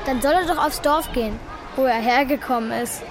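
A woman's voice: high voice, brisk, a crowd in the background, background noise. A female-sounding performer playing a nosy, teasing schoolchild in a radio play. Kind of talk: German radio play acting.

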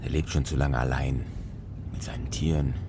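A man's voice: very deep voice, steady tone, moderate pace, background noise. A man acting a firm but loving truck-driver father in a German children's radio drama, coaxing and admonishing. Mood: reassuring.

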